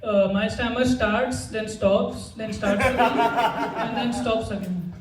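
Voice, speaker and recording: A man's voice: sits high, fairly even intonation, slow, a crowd in the background. A young man from India doing a stand-up set with a stammer and awkward, self-deprecating delivery. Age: young adult. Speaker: stand-up comedian. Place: comedy club stage with audience. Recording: roomy, distant mic with noise.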